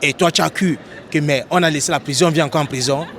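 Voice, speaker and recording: Guy interviewing someone on the street, talks fast, kinda high voice, keeps saying n'est-ce pas. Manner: animated, repeats questions with "n'est-ce pas?". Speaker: host, interviewer. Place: outdoors in a city street.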